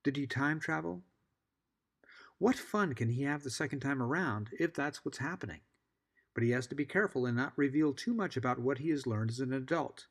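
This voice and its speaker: A middle-aged American man giving a scripted podcast introduction, articulate and measured, with wry asides. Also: mid-range voice. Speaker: podcast host.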